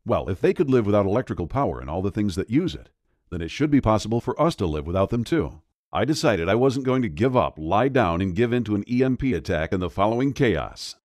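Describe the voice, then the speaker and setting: A man's voice: fairly low pitch, sing-song, fast, darkish tone, dry recording, clean studio sound. A man narrating a scripted voice-over in a grave, dramatic, urgent manner. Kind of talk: scripted doom-laden voice-over pitch.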